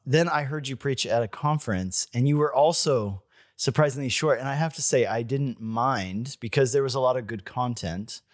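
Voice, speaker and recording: A man's voice: medium pitch, normal speed, no echo, studio quality. A man interviewing a pastor, asking questions in a friendly, chatty way with some restarts. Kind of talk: interview conversation.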